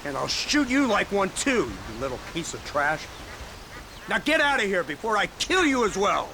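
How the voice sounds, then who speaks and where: A man's voice: high voice, sing-song, moderate pace. An actor playing a smug, sardonic, menacing middle-aged government lawman in a video game, mocking and condescending. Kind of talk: video game dramatic acting. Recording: noisy outdoor ambience behind.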